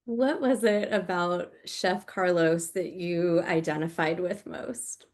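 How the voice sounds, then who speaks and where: A woman interviewing an actor, asking short, brisk prompting questions. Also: lowish voice, steady tone.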